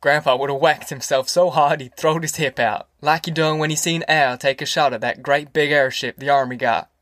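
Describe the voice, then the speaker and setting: A man's voice: fairly high pitch, fairly even intonation, moderate pace, fairly bright tone, no echo, clean studio sound, close to an everyday voice. A man voicing a boisterous older farmer father in an audiobook, rambling and folksy, with a rural Southern American accent. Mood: amused, boisterous. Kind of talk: audiobook character acting.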